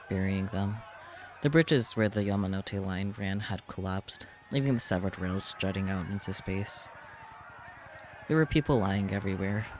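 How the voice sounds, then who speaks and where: A man reading a visual novel aloud as dramatic narration. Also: deep voice. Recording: clear background noise.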